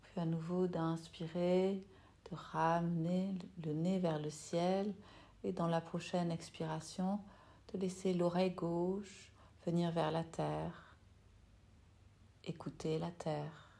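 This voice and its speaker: A woman yoga nidra teacher leading a guided meditation in a soft, soothing, unhurried way with long pauses. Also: on the low side, flat delivery, gravelly.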